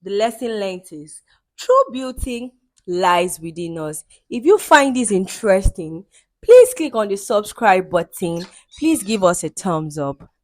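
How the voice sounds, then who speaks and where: A woman narrating a folktale in an expressive, dramatic storytelling manner. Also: medium pitch, a little slow, no room sound.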